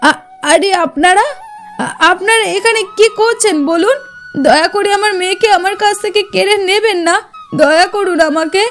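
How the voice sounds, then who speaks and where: Lady doing a worried village mom, high pitched, pleading, cartoon story with music. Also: moderate pace, natural voice. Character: anxious, protective village mother. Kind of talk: cartoon fairy-tale acting.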